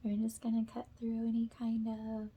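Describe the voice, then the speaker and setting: A soft-spoken woman who often drops into a whisper as she gently guides an ASMR spiritual cleansing. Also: fairly high pitch, completely flat delivery, normal speed, husky. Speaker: ASMR energy healer, host.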